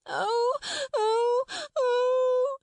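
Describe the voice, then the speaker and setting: A woman voicing a spoiled, crying very small girl with a shrill voice in a storybook reading. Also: fairly even intonation, measured pace, fairly bright tone, dry recording, heavily acted. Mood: wailing.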